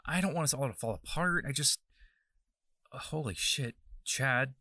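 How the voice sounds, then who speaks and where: A man's voice: mid-range voice, moderate pace, pushed and bright, dry recording. A man voicing a young hero who talks hesitantly and anxiously. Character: young male hero, worried and gentle.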